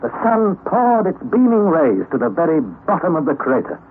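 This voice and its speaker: An actor playing Harry, a young nephew narrating an expedition, in a 1930s radio drama, on a tinny old recording. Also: male voice, on the high side, sing-song, slight background noise. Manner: measured storytelling narration.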